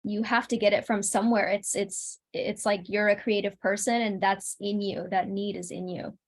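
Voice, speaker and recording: Mid-pitched woman, reflective interview guest. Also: little pitch movement, normal speed. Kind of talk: casual conversational interview.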